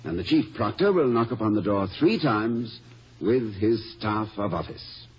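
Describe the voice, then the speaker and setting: An actor playing a courteous, fussy older college president in a 1950s radio comedy, hesitant and rambling with formal diction. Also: male voice, lowish voice, lively intonation, a little slow. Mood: earnest explaining. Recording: muffled, hissy old radio recording.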